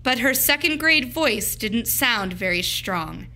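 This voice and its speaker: A woman teacher reading a children's storybook aloud with dramatic, deliberate, expressive phrasing. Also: a little high.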